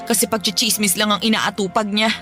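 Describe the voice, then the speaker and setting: A woman's voice: medium pitch, fairly even intonation, a little fast, natural voice. An actress playing a distressed, loving mother abandoned by her husband in an emotional Tagalog radio drama. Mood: bitter, exasperated. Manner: tearful, emotional, sighing. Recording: music playing behind.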